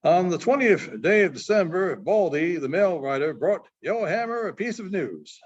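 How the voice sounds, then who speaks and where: A man reading a short story aloud, haltingly, stumbling over and rereading phrases. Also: high voice, very animated. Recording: dull, muffled sound.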